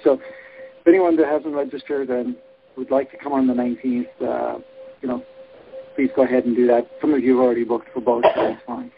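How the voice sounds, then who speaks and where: Gravelly man hosting over a phone line. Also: mid-range voice. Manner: rambling, frequent filler pauses. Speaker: workshop host. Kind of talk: relaxed spiritual workshop talk. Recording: phone-line webinar with faint noise.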